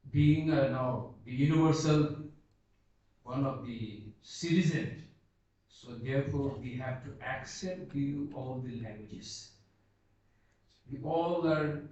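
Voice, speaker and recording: Bhoti-native man, halting, in an echoey hall. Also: mid-range voice, darkish tone. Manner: halting, searching for words, slow and earnest. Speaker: Buddhist seminar speaker. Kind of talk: unscripted seminar talk. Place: seminar hall. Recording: echoey hall, distant dull mic.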